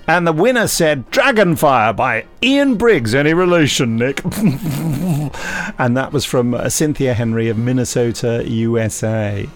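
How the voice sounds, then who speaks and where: Man, 50s, podcast co-host, playful, self-mocking, animated pitch. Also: unhurried. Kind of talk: casual chat.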